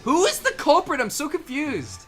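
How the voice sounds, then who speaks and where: A man's voice: extremely high pitch, huge pitch swings, moderate pace, fairly bright tone. A man chatting casually as he plays and dubs a game with friends. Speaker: fandub player. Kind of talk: casual group chat while playing and dubbing a game. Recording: music behind the voice. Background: game music.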